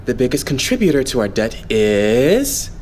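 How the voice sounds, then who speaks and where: A man's voice: mid-range voice, big pitch swings, a little mellow, natural voice. Guy doing polite composed idol leader, anime fandub, lilting, faint noise in background. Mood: calm explaining. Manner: polite, explanatory, measured. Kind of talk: anime game fandub acting.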